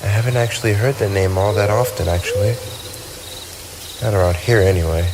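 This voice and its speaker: Lonely ancient vampire, played by a male actor: very low voice, brooding and wistful, formal diction, ASMR roleplay over clear night insects and owls. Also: a little fast, noisy background, natural voice. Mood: shy, touched. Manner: wistful, formal, theatrical phrasing with sighs. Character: lonely, brooding tsundere male vampire, centuries old, wounded pride. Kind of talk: ASMR roleplay monologue addressed to the listener. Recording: night ambience with crickets and owls.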